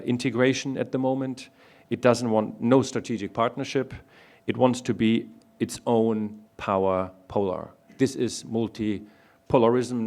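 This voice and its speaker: Man giving measured panel remarks. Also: on the low side, a little mellow. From Germany. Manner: measured, point-by-point delivery. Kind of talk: public panel discussion talk.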